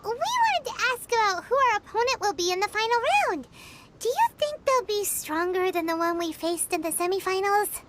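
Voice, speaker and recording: Super high squeaky little fairy girl, bouncy and blunt, big music behind. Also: normal speed, noisy background. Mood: curious. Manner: squeaky, excitable, blurts out thoughts. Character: tiny chirpy floating girl companion, blunt. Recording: game cutscene with loud music.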